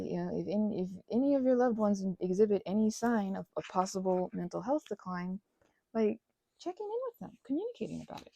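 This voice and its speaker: A woman rambling casually as she crafts, talking in a gushing, halting way and often interrupting herself. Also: fairly high pitch, creaky, dark tone, no echo. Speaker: hobby ASMR and roleplay audio creator.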